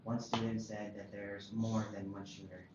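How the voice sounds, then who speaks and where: A man's voice: fairly low pitch, flat delivery, normal speed, lightly acted. Man acting as a TV news reporter, very echoey. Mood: urgent reporting. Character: television news reporter covering a school emergency. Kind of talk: breaking news report read aloud at table read. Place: roomy indoor table read. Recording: echoey room, dull sound, clear noise.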